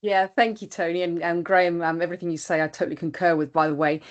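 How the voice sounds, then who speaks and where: A woman's voice: lowish voice, steady tone, fast talker, dull audio. A woman whose first language is not English, speaking openly and reflectively as a panelist in a webinar over a video call. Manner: reflective, open, with frequent fillers.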